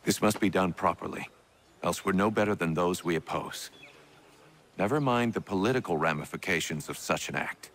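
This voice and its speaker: A dignified, principled commander in a video game. His voice is very low, and he speaks with formal, measured authority. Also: fairly bright tone. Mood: grave restraint. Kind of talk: video game cutscene acting.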